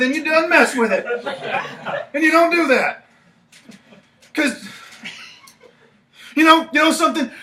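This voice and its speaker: An actor plays an indignant, flustered male best friend on stage. The voice is bright and emphatic, tripping over repeated phrases. It is recorded from a distance in an echoey room. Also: sits high. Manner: stammering, repetitive, emphatic. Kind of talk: staged play reading. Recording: echoey live room, distant mic, faint noise.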